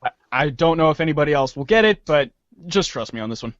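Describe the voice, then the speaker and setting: A man's voice: a little high, animated, fast talker, lo-fi sound. A man chatting casually as a cast member of a comedy read-along. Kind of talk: casual chat.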